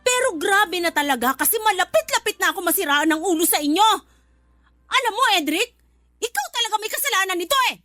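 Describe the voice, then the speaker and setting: An actress playing a bitter, confrontational older sister in a radio drama, speaking scornfully. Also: female voice, very high voice, animated, fast talker, bright, forceful tone, dry recording, faint music behind, exaggerated voice. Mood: accusing, exasperated. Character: bitter older sister feuding with her brother's live-in girlfriend.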